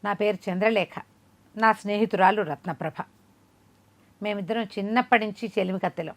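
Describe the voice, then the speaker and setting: A woman's voice: mid-range voice, steady tone, moderate pace, close to an everyday voice. A woman storyteller voicing an earnest, courteous young woman musician who speaks politely and respectfully in a folk tale.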